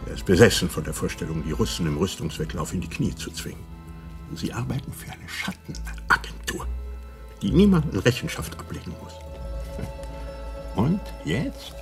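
An actor playing a blunt, stern intelligence interrogator in a radio drama, confrontational and deliberate in delivery. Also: male voice, low voice, sing-song, faint music behind. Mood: pressing.

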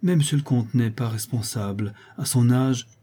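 A man's voice: medium pitch, moderate pace, mellow, breathy edge, close to an everyday voice. A man voicing a placid young woman, a humble dependent in a storybook, speaking politely with a touch of condescension.

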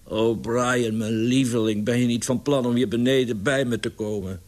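A man's voice: on the low side, expressive, moderate pace. Man acting out a boisterous, plaintive pigeon owner. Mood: coaxing, cooing. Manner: exclaiming, coaxing, stammering in indignation. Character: tall boisterous Irish pigeon owner, innocent, plaintive and doting.

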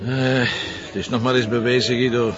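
An actor playing a dogged, wry Flemish police inspector, talking bluntly and colloquially with hesitant fillers in a radio drama. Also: male voice, mid-range voice, level tone, moderate pace, lo-fi sound, music in the background, close to an everyday voice.

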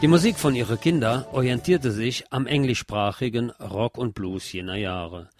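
A man reading a scripted documentary narration in a measured, factual way. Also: mid-range voice, music in the background.

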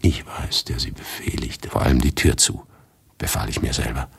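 An actor playing an agitated writer who narrates his own macabre tale in a radio drama, husky and intense, lapsing into whispers. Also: fairly even intonation, moderate pace, no echo, full character voice. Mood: tense, resolute. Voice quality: very deep, husky, gravelly, often breathy whisper. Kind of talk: literary radio drama narration, first person.

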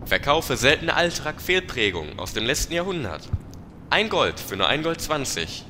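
A man's voice: on the high side, sing-song, moderate pace, pushed and bright, soft background music. A man playing a pushy market crier in a fantasy parody radio play, rattling off sales patter. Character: pushy male market crier hawking dubious wares. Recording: long reverb, faint noise.

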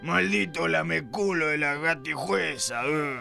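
An actor playing a foul-mouthed, crooked police inspector with an Argentine accent in comic radio theatre, swearing and blustering. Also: male voice, fairly high pitch, measured pace, gravelly. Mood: gruff indignation. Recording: music behind the voice.

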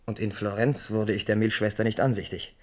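A man's voice: fairly low pitch, fairly even intonation, fairly quick, a little mellow, close to an everyday voice. An actor playing an anxious, deferential courtier defending himself to his king in a radio play. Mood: contrite, defensive.

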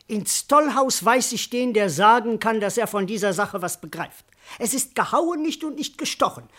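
A put-upon, cheeky servant in a classical radio comedy. The voice sits fairly high. The delivery is earnest and wordy, with stubborn, emphatic protest. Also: female voice, expressive, normal speed, fairly bright tone. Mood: bewildered, marveling. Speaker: actor. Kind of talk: classical verse comedy, radio drama acting.

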